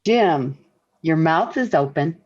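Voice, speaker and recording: A woman's voice: low-pitched, animated, slow, full character voice. An actress playing a patient, dryly witty middle-aged wife and mother in 1940s radio sitcom style. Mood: wry. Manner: gentle, wry. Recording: dull vintage radio recording.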